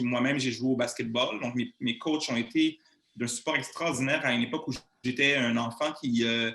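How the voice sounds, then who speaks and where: A man's voice: mid-range voice, pushed and bright, roomy sound. A man in his thirties with a Québécois French accent answers interview questions, hesitating often with fillers and restarts. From Montreal, Canada. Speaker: guest speaker.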